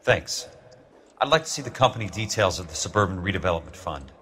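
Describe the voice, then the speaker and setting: An actor playing a world-weary war-veteran investigator in a video game, with an American accent and a blunt, hard-boiled manner. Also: male voice, low-pitched, expressive, fast, a little hiss or hum. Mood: businesslike. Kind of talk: cinematic video game acting. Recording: loud music behind the voice.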